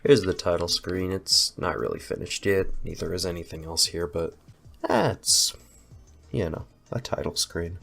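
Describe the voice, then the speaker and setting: Young man, very low voice, nasal and slightly hoarse from a cold, rambling game-dev voice-over, self-deprecating. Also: animated, measured pace, fairly bright tone. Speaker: indie game developer. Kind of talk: casual gameplay voice-over commentary.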